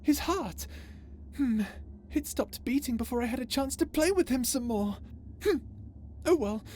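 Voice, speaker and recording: A woman playing an unhinged, vengeful, lovesick and tormented space villain in an ASMR roleplay drama, speaking in a theatrical, halting way. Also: high-pitched, lively intonation, dark tone, slight background noise. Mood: sweetly sinister. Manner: theatrical, halting, swinging between tender menace and anguish.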